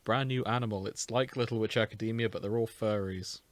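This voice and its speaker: A man's voice: fairly low pitch, fairly even intonation, brisk, dry recording. A man co-hosting a podcast, chatting casually in a silly, jokey way. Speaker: podcast co-host. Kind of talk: casual chat.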